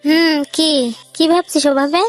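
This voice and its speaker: A woman plays a middle-aged aunt, nosy and concerned, with a high-pitched voice. She speaks in a romantic audio drama with music underneath. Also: fairly even intonation, measured pace, no room sound. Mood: curious. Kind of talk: dramatised love story narration. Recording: music behind the voice.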